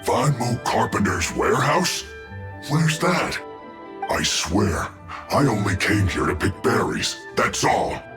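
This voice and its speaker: Low-voiced, aggrieved soldier in echo. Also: male voice, very animated, slow pace. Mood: bewildered, protesting. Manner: protesting, exasperated. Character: hapless, aggrieved male enemy soldier, indignant and whiny. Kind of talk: video game voice acting. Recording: echoey, music behind the voice.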